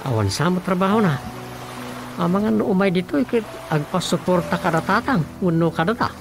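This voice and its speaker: A man's voice: sits high, animated, brisk, vocal fry. An actor with an Ilocano accent playing a plain-spoken, helpful Filipino family man in a comic radio drama. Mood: matter-of-fact. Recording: radio drama with music underneath.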